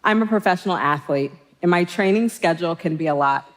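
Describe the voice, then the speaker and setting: A woman telling a personal story in a prepared public talk, in a steady, measured delivery. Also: lowish voice. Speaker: speaker in a played talk clip.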